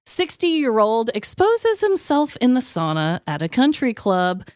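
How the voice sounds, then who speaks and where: A woman with a high, animated voice co-hosts a podcast. She talks casually and expressively, with irreverent comic banter. The sound is close and dry. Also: a little slow, dry recording. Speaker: podcast host. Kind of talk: casual comedic banter, presenting joke awards.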